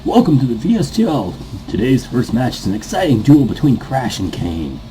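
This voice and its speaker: Man putting on a formal, earnest esports announcer, raised pitch. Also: big pitch swings, normal speed, warm tone, a little hiss or hum, close to an everyday voice, low-quality recording. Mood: formal, upbeat. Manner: stiffly formal announcer phrasing. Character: formal, earnest male esports match commentator.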